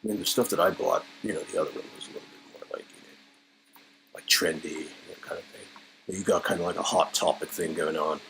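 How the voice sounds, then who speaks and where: Wry man with low, creaky voice. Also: fairly even intonation, fairly quick, a bit bright, slight background noise, poor audio. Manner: informal, wry. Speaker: reader performing a story game. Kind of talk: casual dramatic reading with banter.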